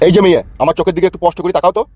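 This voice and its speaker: A man's voice: high-pitched, fairly even intonation, rapid-fire, dull audio. A man playing a bossy, crooked, foul-mouthed village politician in a cartoon comedy, blustering and commanding. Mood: stern, self-important. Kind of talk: Bengali cartoon comedy dialogue.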